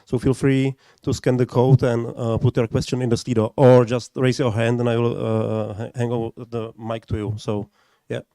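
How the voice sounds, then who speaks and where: Mellow-voiced man hosting a meetup. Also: medium pitch, little pitch movement, moderate pace, dry recording. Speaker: meetup host. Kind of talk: casual audience announcement.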